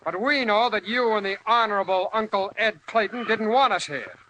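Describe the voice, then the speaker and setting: A bitter vet farmer in an old radio show, sharp voice, blunt and folksy, bit of hiss. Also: male voice, high-pitched, normal speed, muffled recording. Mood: angry, accusing. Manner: blunt, combative, folksy plain speech. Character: bitter, defiant war veteran turned homestead farmer. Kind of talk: 1940s radio drama acting. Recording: noisy old-time radio recording.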